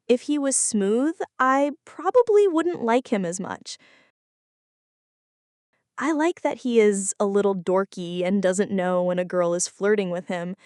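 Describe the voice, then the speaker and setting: A woman playing a flirty, anxious female friend in an intimate ASMR roleplay, speaking softly and dramatically, close to the listener. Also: on the high side, no echo. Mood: teasing, flirty. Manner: soft, close, dramatic pleading and confiding.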